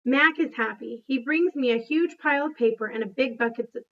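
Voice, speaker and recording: Female read-aloud storyteller, higher pitch, expressive delivery, somewhat dull lo-fi capture. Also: fairly quick. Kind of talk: expressive storybook reading with brief asides.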